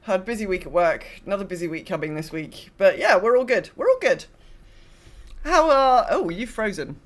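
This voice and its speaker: A British woman co-hosts a podcast. Her voice sits at a medium pitch, and she speaks informally, as in everyday conversation. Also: big pitch swings, moderate pace. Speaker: podcast co-host. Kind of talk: casual chat.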